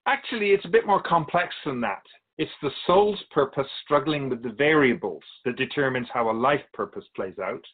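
An otherworldly male spirit played by a man speaks as a guest in a radio drama. He is calm and explanatory, curious about human speech, with a lively, varied pitch. The recording is a little dull and lo-fi. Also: normal speed, breathy edge. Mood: patient teaching.

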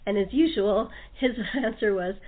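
A woman's voice: medium pitch, measured pace. A woman hosting an unscripted group chat, speaking in a rambling way and often restarting her own sentences. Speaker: talk show host. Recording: tinny narrow phone line.

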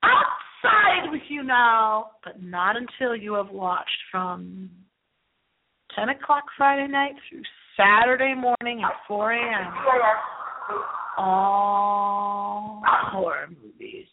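A woman's voice: medium pitch, animated, slow pace, background noise. A middle-aged woman hosting a late-night horror movie radio show, rambling theatrically and repeating her phrases. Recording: thin, phone-like lo-fi sound.

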